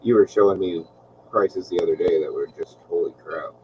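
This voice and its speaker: A man with a low, soothing voice hosts a relaxed livestream chat. He rambles easily, with plenty of ums. He sits a little back from the mic, and a faint hiss runs under his voice. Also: brisk, far from the mic. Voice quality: calm, relaxing voice. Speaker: aquarium channel host.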